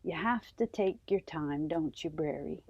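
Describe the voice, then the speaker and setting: A woman hosting a children's story time, reading a storybook aloud with gentle, deliberate phrasing. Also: low voice, vocal fry, breathy edge, no echo, muffled recording. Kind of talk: read-aloud storybook narration.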